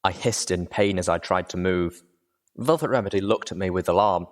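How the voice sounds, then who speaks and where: Male audiobook reader, low-pitched, measured, expressive delivery of dramatic first-person fiction.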